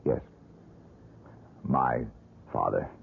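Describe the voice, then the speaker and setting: An actor playing a bitter, tormented struggling painter in an old-time radio drama, with a sardonic, measured and confiding delivery. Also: male voice, very deep voice, gravelly, husky, narrow, tinny audio, full character voice. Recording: band-limited old radio broadcast with music.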